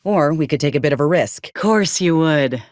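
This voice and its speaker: Folksy, low-voiced young woman soldier. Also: animated, moderate pace, darkish tone, dry recording, studio quality. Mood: thoughtful, practical. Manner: folksy rural turns of phrase, earnest, determined. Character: plucky, earnest young woman soldier with grafted arm, folksy. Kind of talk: video game character dialogue.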